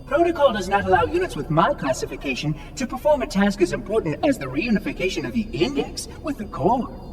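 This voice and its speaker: A man's voice: sits high, huge pitch swings, brisk, recorded from a distance, background noise. A man voicing a fussy, officious ancient floating machine caretaker in a video game, prim, formal and theatrical, with precise enunciation. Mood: brisk, prim. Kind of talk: video game cutscene acting.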